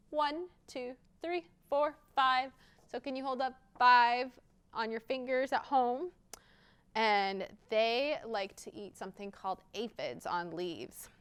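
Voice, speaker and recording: A woman's voice: mid-range voice, clear, resonant tone. A woman hosting a children's storytime, reading aloud expressively and speaking directly to young listeners. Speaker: library storytime host and children's reader. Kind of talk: picture-book read-aloud with interactive rhymes for young children.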